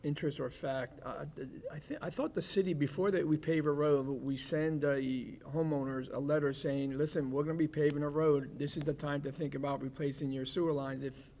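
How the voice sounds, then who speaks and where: A man's voice: on the high side, fairly even intonation, fairly quick, hardly any pauses, darkish tone. A man on the board asking casual fact-checking questions at a public meeting. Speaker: board commissioner. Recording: voice heard from far off the mic.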